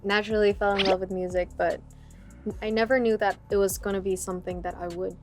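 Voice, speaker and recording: A young woman from Vietnam, a singer talking about her music in a casual interview, with a relaxed, hesitant way of speaking full of fillers. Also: mid-range voice, fast. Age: young adult. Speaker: singer guest.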